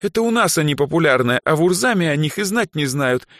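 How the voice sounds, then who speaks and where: A male reader puts on a sardonic young woman in a fantasy story. She talks in a raised pitch with a grumbling, ironic edge, sounding dry and unimpressed with her friend. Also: expressive, quick pace, fairly bright tone, no room sound. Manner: gruff, snorting, ironic.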